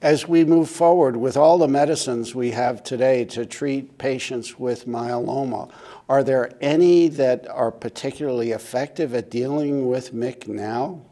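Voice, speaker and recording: A middle-aged American man moderating a medical panel, asking questions in a deliberate, formal way. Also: medium pitch, warm tone. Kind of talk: interview questioning. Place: indoor conference interview set.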